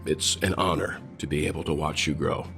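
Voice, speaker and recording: An actor playing a wise, dignified older mentor in a video game, measured and encouraging. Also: male voice, a bass voice, fairly even intonation, gravelly, music in the background. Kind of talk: video game character dialogue.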